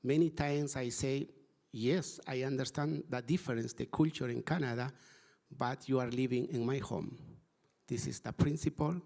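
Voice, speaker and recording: Man, English, gravelly voice, middle pitch, halting earnest panel talk. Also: steady tone, a little mellow. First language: Spanish. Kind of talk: panel discussion. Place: conference hall.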